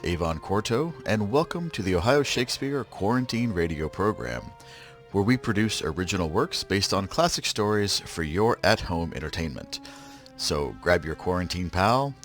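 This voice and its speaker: Deep, animated old-time radio announcer man. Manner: showy, theatrical announcing. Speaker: radio program host and announcer.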